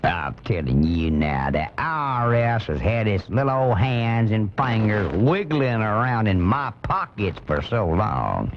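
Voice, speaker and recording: An angry working-class American conservative on a comedy radio show. He rants bluntly and indignantly, with lively swoops in his voice. The sound is a dull cassette copy of a broadcast. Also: fairly low pitch, measured pace, hardly any pauses, slight background noise. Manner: indignant, blunt, folksy ranting. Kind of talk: comic radio commentary monologue.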